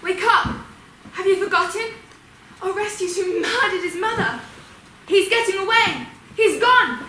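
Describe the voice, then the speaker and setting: A woman's voice: sits high, animated, measured pace, pushed and bright, heavily acted. Woman acting a vengeful, piercing female ghost. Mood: urgent, accusing. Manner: declamatory, urgent, commanding. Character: vengeful female ghost rousing sleeping hunters, wounded and scornful. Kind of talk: Greek tragedy stage acting. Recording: echoey room, distant mic, faint noise.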